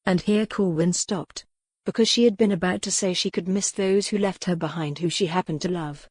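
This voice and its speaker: A woman's voice: lowish voice, normal speed, a little mellow, husky, dry recording. A woman reading scripted historical romance narration as an audiobook narrator, recorded close in a dry space.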